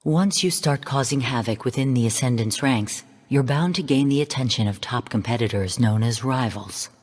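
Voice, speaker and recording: An actress playing a female artificial intelligence mentor in a video game, delivering mission briefings in a calm, stern, measured and formal way. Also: a bass voice, long reverb tail. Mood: measured, instructive. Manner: measured, formal, cool, precise. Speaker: game guide and mission narrator.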